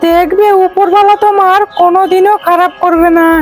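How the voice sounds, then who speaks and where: A woman voicing a needy young village man in an animated folk tale, pleading and effusively grateful. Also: very high-pitched, fairly quick, faint music behind.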